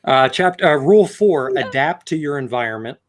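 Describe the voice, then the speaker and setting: A man chatting and reading aloud on a comedy panel. His pitch moves around freely and animatedly, and his delivery is mock-serious and informal. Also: expressive, moderate pace. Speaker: podcast panelist reading from a book. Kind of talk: casual comedy panel chat with read-aloud passages.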